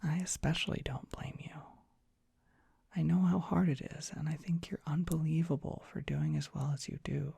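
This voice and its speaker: A performer playing a dorky, gentle young dragon boy in an ASMR roleplay, speaking in a soft breathy whisper, tender and bashful. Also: medium pitch, steady tone, normal speed, no echo, heavily acted. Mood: tender, reassuring. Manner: tender, bashful, hesitant, softly reassuring. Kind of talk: ASMR roleplay, intimate comforting monologue.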